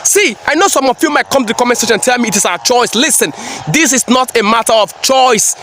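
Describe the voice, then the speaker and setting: A man's voice: very high-pitched, fast, bright, forceful tone, faint music behind. A man giving a preachy, emphatic reaction commentary, repeating phrases for effect. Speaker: commentary vlogger.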